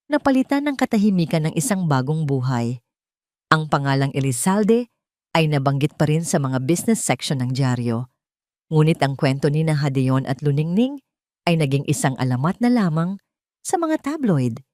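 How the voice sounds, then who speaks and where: Casting: Filipino female storyteller for scripted romance drama, lower register, close dry sound. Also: dark tone, dry recording. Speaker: story narrator.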